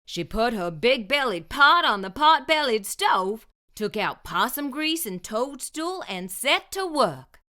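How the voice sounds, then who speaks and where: A woman hosting a story time and reading a picture book aloud, expressive and sing-song. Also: a little high, slow pace, few pauses. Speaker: storyteller host.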